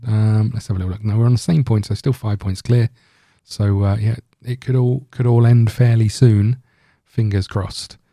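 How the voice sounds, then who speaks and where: A man with a very dark, relaxed voice on the low side talks through a video game. He rambles casually, thinking aloud as he hosts. The sound is dry and close. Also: moderate pace, hardly any pauses, no room sound. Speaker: gaming video host. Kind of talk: casual let's-play commentary.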